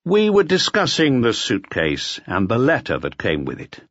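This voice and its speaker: A man voicing a cool, gruff, unflappable police detective in an audiobook. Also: medium pitch, wildly swooping pitch, normal speed, a bit bright, dry recording, highs cut off. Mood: calm, probing.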